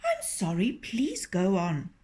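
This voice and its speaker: A woman voicing a sly male fox in a storybook reading, coaxing and flattering. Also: mid-range voice, slow. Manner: sweet-talking and coaxing.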